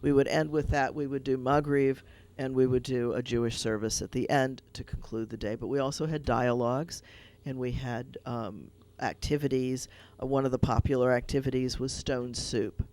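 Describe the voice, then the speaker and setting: A man, a rabbi hosting a radio talk show, chatting casually and telling a children's story in an animated way. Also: medium pitch, moderate pace. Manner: animated storyteller, retelling a fable.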